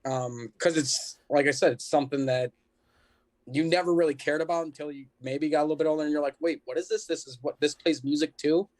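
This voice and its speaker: Man, American, slightly high voice, casual podcast guest, rambling, filler-heavy. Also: fairly even intonation, brisk, darkish tone. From Chicago, United States. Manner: rambling, peppered with like and you know. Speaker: band musician, podcast guest.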